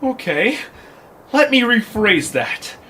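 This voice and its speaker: A man's voice: high-pitched, big pitch swings, takes it slowly, heavily acted. A man voicing a bossy, hungry, self-important troll in an audio drama. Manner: pompous, demanding. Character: bossy, hungry pizza troll leader, arrogant and picky. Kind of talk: cartoonish audio drama acting. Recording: faint background noise.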